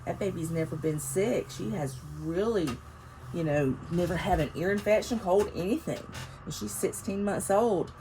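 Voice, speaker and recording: A woman in her fifties talks in a rambling, chatty way, her voice nasal and congested from a cold. Her tone sits slightly low for a woman. Faint noise hums in the background behind her. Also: normal speed. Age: 50s. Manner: rambling and chatty, with frequent laughs. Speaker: planner vlogger. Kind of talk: casual chatty vlog. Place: home, seated in a chair. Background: faint neighbors' construction noise.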